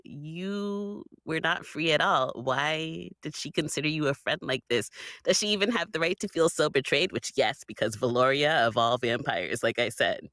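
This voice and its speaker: A woman's voice: deep voice, fairly bright tone, dry recording. A woman chatting casually as a panelist, expressively acting out imagined quotes. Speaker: podcast panelist. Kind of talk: casual chat.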